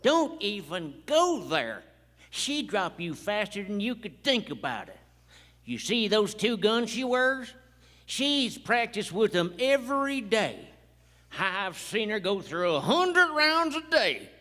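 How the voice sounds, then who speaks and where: A man's voice: high voice, sing-song, slow, pushed and bright, full character voice. A man playing a jumpy outlaw henchman with folksy American cowboy talk in a live radio play. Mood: brash, warning. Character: jumpy, high-voiced male outlaw henchman Bart. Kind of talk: Western radio play acting. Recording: live stage hall, long reverb.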